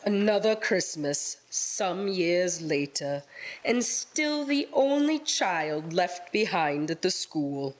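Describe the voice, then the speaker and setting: An actor playing a solemn, knowing spirit guide, speaking in a measured, probing way in a radio-play style reading. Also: mid-range voice, sing-song, rough recording.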